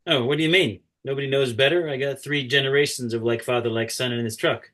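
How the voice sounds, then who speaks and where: A plain-spoken boss type acted by a man at a script read, wry and direct. His voice is mid-pitched for a man. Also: fairly even intonation, quick pace, sharp tone, close to an everyday voice. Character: plain-spoken, wry men: coach and work-crew boss. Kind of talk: screenplay table read.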